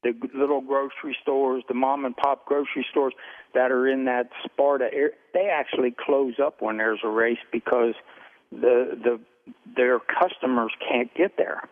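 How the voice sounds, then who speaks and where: An American man phoning in to a radio show over a telephone line, giving his opinion in a halting, searching way. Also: medium pitch, steady tone. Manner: halting, hesitant, searching for words. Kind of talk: unscripted phone-in opinion. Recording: tinny, band-limited phone line.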